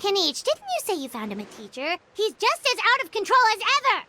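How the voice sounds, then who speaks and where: A woman voicing an excitable, childlike little floating companion girl in a video game, who blurts out her reactions. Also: very high-pitched, fairly quick, a little hiss or hum, full character voice. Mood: whiny.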